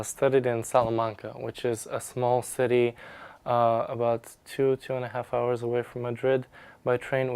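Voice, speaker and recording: Young man, academic testimonial. Medium pitch, crisp clear voice, formal wording, dry recording. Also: a little mellow, dry recording. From Bulgaria. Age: young adult. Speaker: research scholar, graduate student. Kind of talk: prepared personal testimonial to camera.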